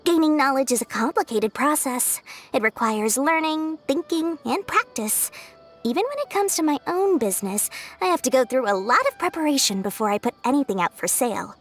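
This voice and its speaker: A woman's voice: sits high, lively intonation, fairly quick, soft background music. A woman voicing a shrewd, playful young female merchant in a video game, chatty and sly. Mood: explaining, shrewd. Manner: sly, chatty, smooth salesmanship.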